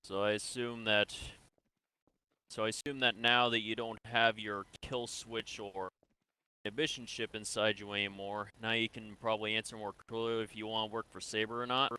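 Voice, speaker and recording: A man playing a calm, reassuring interrogator in improvised sci-fi game roleplay, casual and coaxing. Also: on the low side, normal speed, clear, resonant tone, rough recording.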